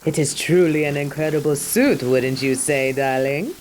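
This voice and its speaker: A woman's voice: low voice, moderate pace, a little mellow, faint music behind, natural voice. A woman playing a proud, exacting yet fond superhero costume designer in a scripted roleplay, speaking in a grandiose, dramatic way. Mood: proud, boastful. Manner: grandiose, dramatic, addresses listener as darling. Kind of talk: scripted roleplay audio monologue.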